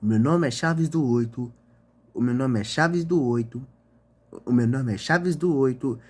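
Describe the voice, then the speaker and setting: A man narrating an episode recap for a channel, with repetitive, halting phrasing. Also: medium pitch.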